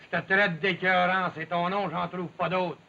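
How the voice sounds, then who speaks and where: An old, muffled television soundtrack with light noise holds a proud Quebec lumberjack who nurses a grudge. The voice is sharp and somewhat high for a male, and the speech is blunt, rustic and accusing. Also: level tone, normal speed, vocal fry, exaggerated voice. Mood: accusing, angry. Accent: Quebec French. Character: Big William, proud, resentful, quarrelsome lumberjack. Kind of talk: 1950s French Canadian television drama acting.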